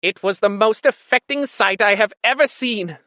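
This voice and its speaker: A man's voice: sits high, moderate pace, bright, forceful tone, no echo, clean studio sound, pitched above the performer's own voice. A man voicing an oily male pig propagandist in a storybook, pompous, melodramatic and insincere. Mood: tearful, reverent.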